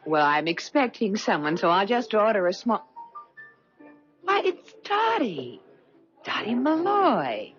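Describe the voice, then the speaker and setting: An actress playing a wealthy, flashy middle-aged socialite who gushes, in a 1970s radio drama. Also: female voice, mid-range voice, very animated, slow pace, long pauses. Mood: surprised, gushing. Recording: muffled vintage radio recording with music.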